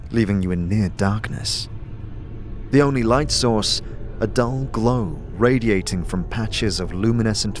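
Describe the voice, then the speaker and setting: Male narrator, rather low, dramatic scripted fantasy storytelling, soft music behind. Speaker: narrator, game master.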